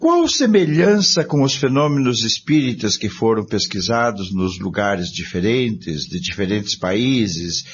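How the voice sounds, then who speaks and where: A man's voice: fairly low pitch, very animated, measured pace, few pauses. A man with a Brazilian accent hosting a spiritist study programme, giving scripted commentary to listeners. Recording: dull lo-fi sound with some noise.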